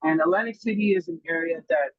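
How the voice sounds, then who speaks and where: A man's voice: a little high, fast talker, breathy edge, dry recording, lo-fi sound. A man who grew up in Germany, a guest food grower, talking earnestly in a casual podcast chat and searching for his words.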